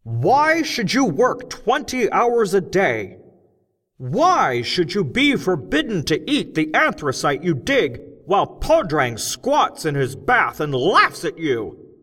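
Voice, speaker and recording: A man voicing a man turned gnome, rousing a crowd of miners with loud, slow, impassioned speech. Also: extremely high pitch, huge pitch swings, long reverb tail, pitched above the performer's own voice, heavily acted.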